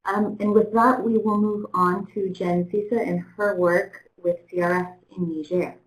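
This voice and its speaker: A woman's voice: mid-range voice, steady tone, normal speed. A woman moderating a webinar, thanking a speaker and introducing the next one with brief, gracious transitions over remote audio. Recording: echoey, distant remote audio.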